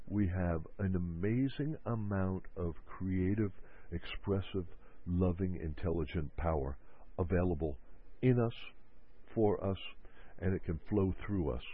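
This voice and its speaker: A man hosting a motivational self-help talk on the radio. He repeats his points and acts out people's worried inner voices. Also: low-pitched. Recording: narrow phone-like radio audio.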